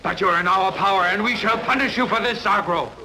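A man's voice: high-pitched, brisk, close to an everyday voice. An actor playing a stern, indignant statesman of an ancient city, formal and declamatory, on a noisy film dub. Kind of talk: dubbed sword-and-sandal film acting.

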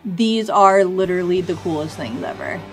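A woman's voice: lowish voice, lively intonation, moderate pace, faint music behind. A woman in her thirties chatting casually and enthusiastically to camera in slangy, informal language as a hobby video host. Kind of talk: casual vlog chat to camera. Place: small indoor hobby studio room.

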